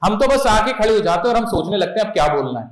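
A man's voice: sits high, quick pace. A young man from India teaching English at a live seminar, speaking on stage into a handheld mic and coaxing students with questions. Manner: energetic, coaxing, asks the crowd questions. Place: large auditorium stage. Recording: echoey hall.

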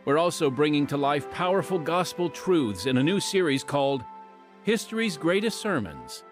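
A man announcing a radio program in polished, measured scripted narration. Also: fairly high pitch, big pitch swings, soft background music.

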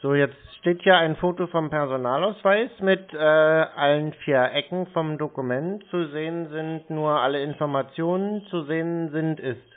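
A man's voice: on the high side, moderate pace, hardly any pauses. Pedantic guy on a phone call, dry and needling, tinny phone-line sound. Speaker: scam baiter on a phone call. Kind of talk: phone conversation.

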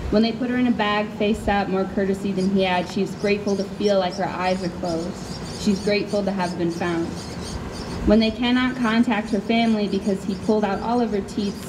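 A young woman from Chicago with an American accent, a poet at a live spoken word reading, candid and informal as she projects into a stand microphone. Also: mid-range voice. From Chicago, USA. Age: young adult. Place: outdoors under a canopy tent. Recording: clear background noise, outdoor event ambience.

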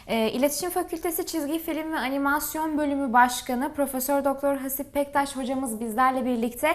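A woman hosts an interview in a fairly high voice. She talks quickly and courteously, in the polite style of a broadcast presenter. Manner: courteous, with frequent short acknowledgements. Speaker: TV programme host, interviewer.

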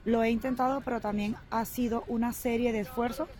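A woman's voice: fairly high pitch, fairly even intonation, a little mellow, faint music behind. A young Venezuelan woman with a Venezuelan Spanish accent, answering interview questions thoughtfully while standing in a city park with a handheld mic. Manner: thoughtful, measured, earnest. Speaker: guest. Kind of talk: street interview, relaxed answers.

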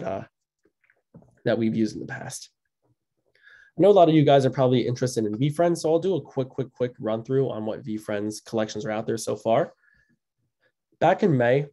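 A man's voice: mid-range voice, mellow. A young man hosting an online session, talking loosely with many ums and restarts while presenting and taking questions. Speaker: host of an educational webinar. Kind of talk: presenting and answering questions on a video call. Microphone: video call microphone.

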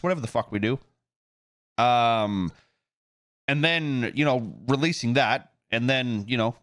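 A man's voice: mid-range voice, a bit bright, no room sound, clean studio sound. A man talking casually and off the cuff on a stream, swearing freely and thinking aloud, like a game developer chatting to viewers.

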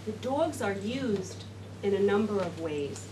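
A woman's voice: mid-range voice, expressive, unhurried, recorded from a distance. A middle-aged woman talking informally to a seated group in a classroom, rambling with many fillers and restarts. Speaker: speaker addressing a group. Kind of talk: informal talk to a seated audience. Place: classroom, indoors. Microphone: far camera. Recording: roomy classroom sound from afar with noise.

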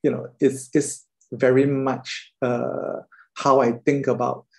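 A Singaporean man, an artist, talking thoughtfully with many pauses and fillers on a video call. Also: mid-range voice, flat delivery, vocal fry, a little mellow. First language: Mandarin.

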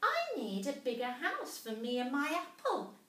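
A woman voicing a tiny, polite and eager female mouse with a squeaky voice in a storybook. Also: huge pitch swings, measured pace, pushed and bright, full character voice. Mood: eager, hopeful. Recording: roomy classroom, distant mic.

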